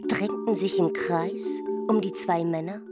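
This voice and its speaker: A woman's voice: lowish voice, fairly even intonation, a little slow, over loud music, lightly acted. A woman narrating a literary radio play as dramatic storytelling. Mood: measured tension.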